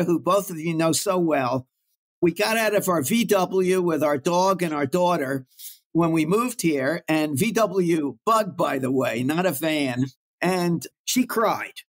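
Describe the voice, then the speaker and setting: Older man. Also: high voice. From Brooklyn, New York. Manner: warm, anecdotal, reflective. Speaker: guest oncologist. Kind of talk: storytelling in an interview.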